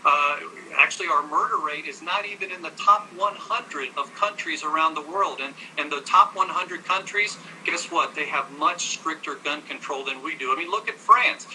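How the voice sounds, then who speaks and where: A man's voice: fairly high pitch, normal speed, few pauses, pushed and bright, a little hiss or hum, low-quality recording. An American man arguing assertively as an advocate in a televised interview, correcting his host. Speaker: gun-rights advocate, interview guest. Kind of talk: televised debate, arguing with statistics.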